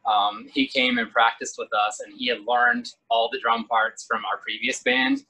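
A man from Virginia, singer and bassist of a band, answering interview questions in an easygoing way. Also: wildly swooping pitch, normal speed, pushed and bright, rough recording. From Virginia, USA. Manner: easygoing, laughs often.

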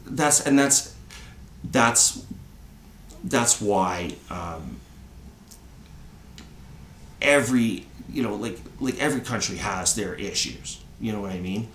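A man's voice: fairly low pitch, expressive, slow pace, pauses often, fairly bright tone, echoey room, a little hiss or hum. A man in his thirties from Canada, chatting on a podcast, rambling and tagging on "right?" again and again. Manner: rambling, says "right?" often. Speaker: podcast co-host. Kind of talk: casual chat.

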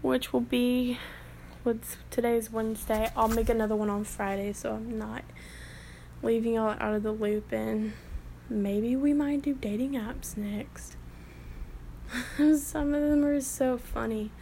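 A woman's voice: fairly high pitch, steady tone, a little slow, dark tone, a little hiss or hum. A woman in her late teens giving casual, rambling commentary as a vlogger, with the odd whispered aside.

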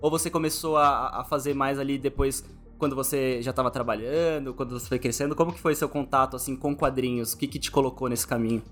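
A man's voice: medium pitch, steady tone, fast talker, fairly bright tone. A Brazilian man hosting a casual interview, encouraging his guest and feeling his way through his phrasing. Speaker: podcast host interviewer. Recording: music playing behind the voice. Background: background music.

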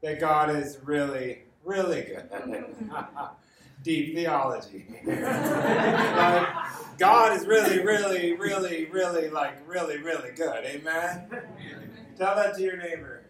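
An echoey recording, picked up at some distance, of an American man preaching. His voice sits on the higher side for a man. He speaks informally, like someone sharing personal stories, and repeats words for emphasis. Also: slow pace, pauses often, a bit bright. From Los Angeles, United States. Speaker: guest preacher. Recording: roomy echo, distant from the mic.

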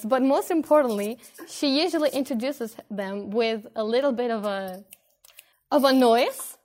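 A woman in her twenties from Spain, hosting a casual podcast chat with friends, loose and laughing with many fillers. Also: fairly high pitch. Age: 20s. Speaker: podcast host.

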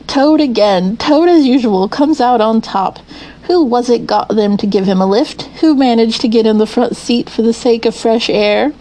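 A woman's voice: fairly high pitch, normal speed, mellow, natural voice, low-quality recording. A woman voicing a boastful, reckless male toad in a storybook, crowing in grandiose, self-admiring declamation. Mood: gleeful self-admiration. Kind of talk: children's storybook character voice.

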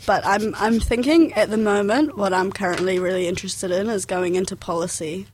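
A woman's voice: mid-range voice, brisk, a little mellow, slight background noise. A young woman with a New Zealand accent whose first language is Arabic, talking casually and filling her sentences with "like". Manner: rambling, fills with "like" constantly. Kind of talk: casual personal chat.

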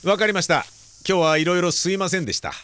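A man's voice: high-pitched, a bit bright, slight background noise. A man acting in an audio drama as a polite but nosy middle-aged father who asks probing questions. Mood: apologetic, polite. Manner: probing, courteous, drawn-out questioning.

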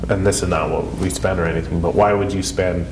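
Male podcast co-host, low register, sniffly with a cold, casual and earnest, clear noise behind him. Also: fairly even intonation, fast. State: congested and sniffly from a head cold. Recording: echoey room with clear background noise.

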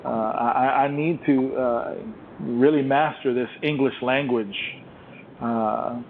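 A man's voice: mid-range voice, fairly even intonation, creaky, slight background noise. Man on a muffled phone line. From Puerto Rico. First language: Spanish. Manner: halting, repeats words often. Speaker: host. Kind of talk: interview conversation.

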